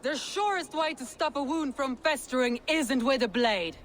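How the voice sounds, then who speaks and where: An actress playing a proud, determined Viking jarl in a video game, with a commanding, declamatory delivery. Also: female voice, sits high, normal speed, slight background noise, close to an everyday voice. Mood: defiant. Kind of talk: video game cutscene acting.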